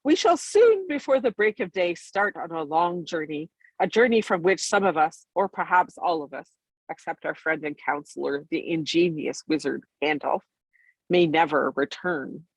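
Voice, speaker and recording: Storybook dwarf chief played by a woman: self-important, solemn, husky, with deliberate, swooping delivery. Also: on the low side, expressive, dry recording, rough recording. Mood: pompous, solemn. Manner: slow, solemn, formal speechifying. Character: pompous, long-winded important male dwarf leader.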